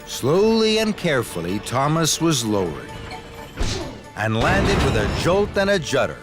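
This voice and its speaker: A man narrating a scripted children's story in an expressive, deliberate storytelling manner. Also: fairly high pitch. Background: music.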